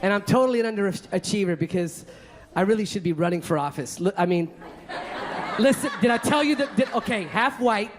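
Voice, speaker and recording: A man from Colombia doing a stand-up set on a comedy club stage, loud and exuberant as he works the crowd. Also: high voice, animated, fairly quick.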